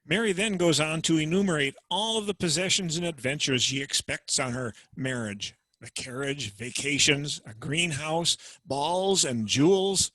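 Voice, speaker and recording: Bright-voiced male narrator. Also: on the high side, normal speed, dry recording. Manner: clear, explanatory. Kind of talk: dramatic reading narration. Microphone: video call.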